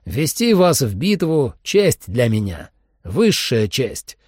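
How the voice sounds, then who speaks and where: A man's voice: medium pitch, sing-song, darkish tone, husky, no echo, clean studio sound, full character voice. A man voicing a veteran monster hunter commander in an audiobook, solemn and emphatic, like an orator. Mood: solemn pride. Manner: deliberate, emphatic, rousing oratory.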